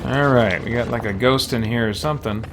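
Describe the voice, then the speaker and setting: A man's voice: medium pitch, brisk, very noisy. A man doing casual live-stream gameplay commentary, thinking aloud in an easygoing, chatty way. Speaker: game streamer, host.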